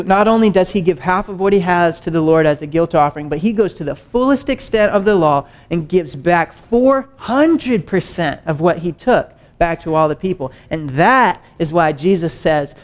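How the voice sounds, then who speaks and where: A young man teaching a sermon on a stage, talking casually and pacing as he gestures. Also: fairly high pitch. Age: young adult. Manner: animated, conversational, gestures widely while pacing. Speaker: preacher. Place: stage with wood-panel backdrop and screen.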